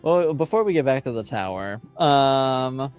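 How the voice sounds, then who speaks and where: Slow-talking man running a tabletop game. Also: medium pitch, lively intonation, soft background music. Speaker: game master. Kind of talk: casual narration of a tabletop game.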